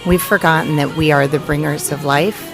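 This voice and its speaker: Woman, low-pitched voice, earnest reflective interviewee, articulate measured phrasing, loud music behind. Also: steady tone, vocal fry. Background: prominent music.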